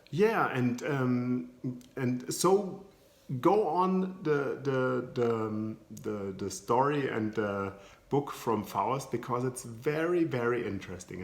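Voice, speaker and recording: A German man with a German accent, a psychologist and coach talking informally to camera, searching for words with many restarts. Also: medium pitch. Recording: echoey room.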